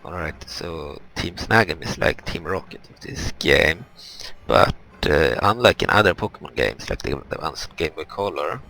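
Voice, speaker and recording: Very deep, gravelly man doing gaming commentary. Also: expressive, sharp tone, soft background music, low-quality recording. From Europe. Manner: halting, rambling, explanatory. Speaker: gaming video host. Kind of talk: casual let's play commentary.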